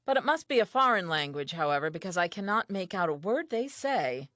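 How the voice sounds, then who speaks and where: An actress playing a curious, wonder-filled Eve in a comic diary-style audio play, speaking earnestly and theatrically. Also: female voice, fairly low pitch, sing-song, a little fast, fairly bright tone, no echo, studio quality. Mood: puzzled.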